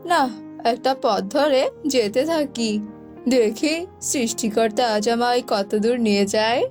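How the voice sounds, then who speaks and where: A woman's voice: on the high side, unhurried, darkish tone, faint music behind, close to an everyday voice. A woman voicing a timid, anxious small bird in a cartoon, with a worried, fretful manner. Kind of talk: cartoon voice acting.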